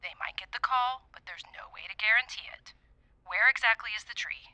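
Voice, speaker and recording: Sharp-toned woman clerk on telephone. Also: on the high side, brisk, no echo, rough recording. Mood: noncommittal, weary. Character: brisk, unhelpful woman public works phone clerk. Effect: telephone filter. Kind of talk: audio drama dialogue.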